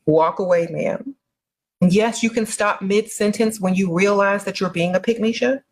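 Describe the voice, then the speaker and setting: A woman's voice: medium pitch, moderate pace. A woman giving blunt, emphatic advice, leaning on repeated catchphrases.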